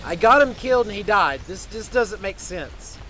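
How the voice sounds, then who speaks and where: A man with an unusually high voice acts out a game roleplay in character. He speaks dramatically and emotionally, in short repeated exclamations. Clear background noise runs under the voice. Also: animated, moderate pace, pushed and bright, low-quality recording. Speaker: gaming video creator. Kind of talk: improvised first-person video game roleplay.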